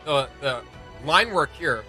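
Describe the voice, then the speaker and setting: A man's voice: on the high side, lively intonation, slow pace, music in the background. A man hosting a casual reaction video, talking as an enthusiastic fan who gushes over what he is reacting to. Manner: enthusiastic, gushing.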